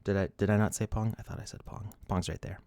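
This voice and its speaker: A man in his thirties hosts a casual livestream from his desk, chatting loosely and laughing as he reads the chat. His voice sits low, and he is a bit sniffly. The sound is close and dry, with no room around it. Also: fairly even intonation, fast, creaky, darkish tone, no room sound. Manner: rambling, laughs often, restarts, reads chat. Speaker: livestream host.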